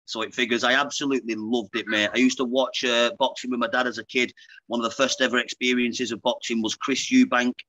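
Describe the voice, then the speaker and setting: A man's voice: medium pitch, flat delivery, a little fast, bright, forceful tone, dry recording, poor audio. A man in his thirties with a Lancashire accent, a guest talking frankly and casually on a video call, often calling the host mate. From Lancashire, England. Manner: chatty, frank, keeps saying "mate". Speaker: guest, pro boxer. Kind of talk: casual interview chat. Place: indoor room, video call. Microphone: earbuds on a video call.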